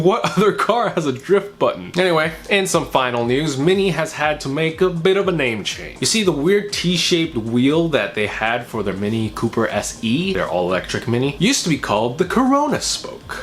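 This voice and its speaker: Young American male host, casual and upbeat, quick jokes between news items. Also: on the high side, very animated, fairly bright tone. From United States. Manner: upbeat, jokey asides. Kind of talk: casual weekly car news roundup.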